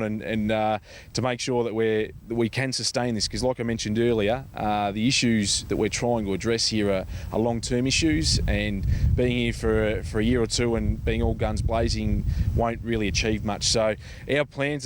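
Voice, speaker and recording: A man with an Australian accent answering interview questions in a halting, conversational way, often repeating words. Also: mid-range voice, a little hiss or hum. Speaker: charity foundation representative being interviewed.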